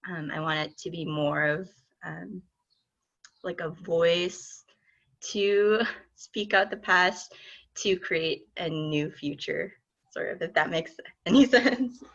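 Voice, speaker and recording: Woman artist on a panel, lowish voice, thinking it through as she goes, kinda dull audio. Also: takes it slowly, creaky, a bit bright. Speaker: artist and exhibit lead on a panel. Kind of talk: reflective panel discussion.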